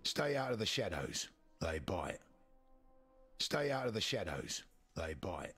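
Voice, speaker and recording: Ominous fantasy guard, husky, deep, expressive swings, game dialogue, music behind. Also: male voice, slow, exaggerated voice. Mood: ominous warning. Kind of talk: video game character dialogue.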